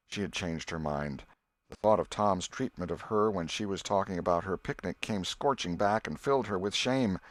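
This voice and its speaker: A man's voice: deep voice, dry recording. A man reading scripted literary narration as an audiobook narrator.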